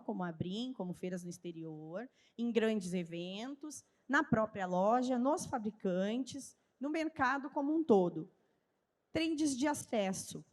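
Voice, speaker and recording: A woman's voice: on the high side, darkish tone. A woman with a southern Brazilian gaúcho accent giving a didactic conference talk, asking questions and answering them herself. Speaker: speaker at a trade fair. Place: large event hall with a live audience. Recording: long hall reverb.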